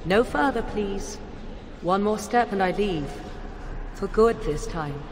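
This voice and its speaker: A woman playing a proud, secretive witch in a video game, speaking in formal, archaic phrases with a haughty, measured manner. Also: mid-range voice, animated. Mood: wary, cold. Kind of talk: dramatic video game acting. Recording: long hall reverb, clear background noise.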